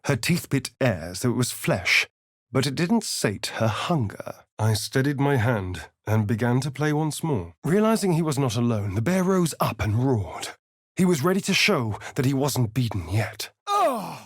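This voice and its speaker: An actor voicing a sly, vain, theatrical vampire spawn rogue in a video game, with an arch, flirtatious drawl. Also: male voice, mid-range voice, big pitch swings, moderate pace, no room sound, clean studio sound. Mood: strained, alarmed.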